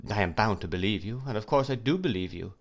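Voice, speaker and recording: A man's voice: fairly low pitch, lively intonation, fast. A man voicing a shrewd, grave middle-aged lawyer who questions in a probing, emphatic way.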